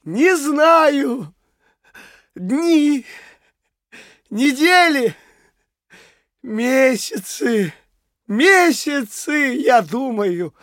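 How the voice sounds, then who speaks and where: An actor playing a broken, frightened male prisoner under torture in a radio play, speaking in a halting, anguished way. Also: very high-pitched, sing-song, fairly bright tone, no echo, studio quality, heavily acted. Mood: exhausted, fearful. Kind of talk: dramatic radio play acting.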